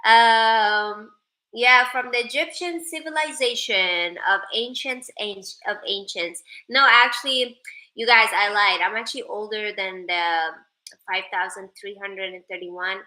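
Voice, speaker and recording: A woman's voice: medium pitch, unhurried, pushed and bright, poor audio. A young woman from Sweden chatting casually with viewers on a livestream, joking playfully and reading out their comments. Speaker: live streamer.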